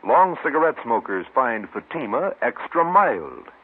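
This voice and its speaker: Male commercial announcer, very animated intonation, emphatic and measured, on a muffled 1950s radio recording. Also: medium pitch, slightly rough. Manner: emphatic, measured sales delivery. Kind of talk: 1950s radio cigarette advertisement read. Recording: muffled narrow-band old radio recording.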